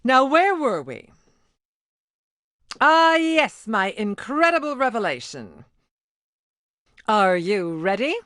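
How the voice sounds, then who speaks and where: A woman voicing a self-important, melodramatic gem informant in an animated game, pompous and showy. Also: on the high side, takes it slowly, long pauses, dry recording, studio quality, heavily acted.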